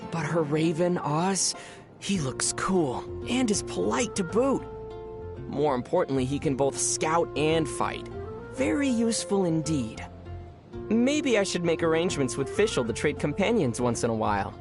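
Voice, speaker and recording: A man playing a young traveler hero in a video game, calm and measured, with a wry, teasing manner. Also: a little high. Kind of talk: English video game voice acting. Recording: music behind the voice. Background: music.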